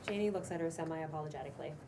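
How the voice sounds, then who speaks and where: A woman's voice: sits low, rapid-fire. Distant woman reading stage directions, noisy recording. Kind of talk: scripted narration at a table read. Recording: distant mic, loud room noise.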